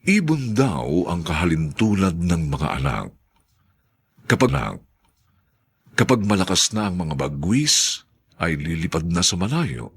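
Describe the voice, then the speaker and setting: A man narrates a radio drama with grave, theatrical delivery. His voice is low and husky, and his intonation rises and falls dramatically through measured scripted phrases. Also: big pitch swings, a bit bright.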